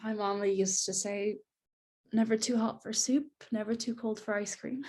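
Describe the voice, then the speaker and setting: A woman playing a nervous, polite waitress who hides her strain, soft-spoken and hesitant, in a rehearsed screenplay read. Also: medium pitch, fairly even intonation, normal speed, close to an everyday voice. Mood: polite, tentative.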